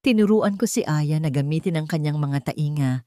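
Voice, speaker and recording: A woman playing a wise, respectful elderly grandmother in an audio story, speaking in a measured, solemn manner. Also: sits low, mellow, no echo, studio quality, lightly acted. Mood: earnest, solemn.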